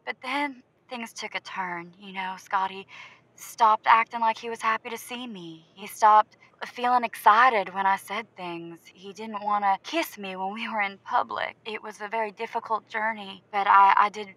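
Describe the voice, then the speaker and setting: A woman's voice: mid-range voice, fairly even intonation, normal speed, bright, forceful tone, no room sound, rough recording. A woman playing an earnest, gushing, lovelorn caller on a comedy advice show, hesitant and emphatic in how she talks. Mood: earnest, confiding. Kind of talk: improvised comedy call-in.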